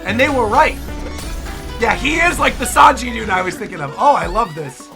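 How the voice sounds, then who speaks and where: Male streamer, high lively voice, casual slangy reactions, exclamatory, music in the background. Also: moderate pace, gravelly. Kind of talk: casual, excitable reaction commentary. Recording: trailer music behind the voice.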